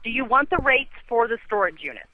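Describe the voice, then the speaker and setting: A woman's voice: fairly high pitch, sing-song, a little fast. An American woman working as a customer service agent, businesslike and matter-of-fact, heard over a telephone line. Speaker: storage rental phone agent. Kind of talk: customer service phone call.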